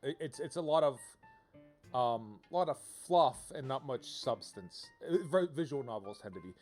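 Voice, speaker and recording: A man giving casual livestream commentary to viewers, thinking out loud in an informal, rambling way and often rephrasing himself. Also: medium pitch, expressive, normal speed. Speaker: video game livestreamer, host. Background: music.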